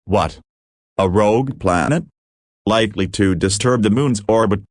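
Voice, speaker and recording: A man's voice: on the low side, measured pace, dry recording, studio quality, lightly acted. A synthetic-sounding man's voice playing a formal government phone operator in a scripted audio drama. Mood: businesslike, alarmed.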